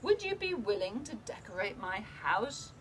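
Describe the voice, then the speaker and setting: Woman as a slow, admiring little hermit crab. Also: medium pitch, sing-song, a bit bright, distant mic, a little hiss or hum. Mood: admiring, polite. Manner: courteous, admiring, slow deliberate phrasing. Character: polite, admiring male hermit crab, gentle and eager. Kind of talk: children's picture book read-aloud.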